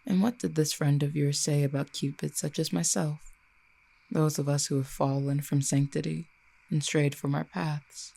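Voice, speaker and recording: Someone playing an old immortal fallen cupid for audio rp, soft dark voice w lots of fry, fancy measured talk, wry. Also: mid-range voice, flat delivery. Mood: wry, curious. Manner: formal, eloquent, measured archaic phrasing. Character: ancient fallen cupid, poised, wry, quietly menacing lover. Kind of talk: roleplay audio drama, addressing the listener.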